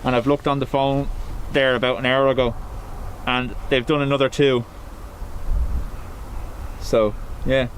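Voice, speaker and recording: A man's voice: mid-range voice, little pitch movement, measured pace, pauses often, a little hiss or hum, rough recording. Chatty Irish man, outdoors. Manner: chatty, laughs easily, answers chat messages as they come in. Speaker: livestream host. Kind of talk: casual live commentary, chatting with viewers. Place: outdoors beside an airport.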